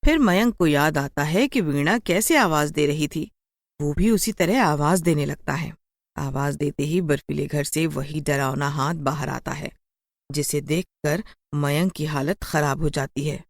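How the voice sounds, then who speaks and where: A woman narrates a scripted children's horror story. Her voice sits a little low, and the recording is close and dry with no room sound. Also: measured pace, no room sound.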